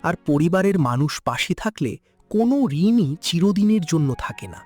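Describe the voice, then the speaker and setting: A man's voice: sits high, sing-song, normal speed, dark tone, faint music behind. A man voicing an honest, hardworking farmer husband in a cartoon drama, with an earnest, emotional delivery. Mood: firm.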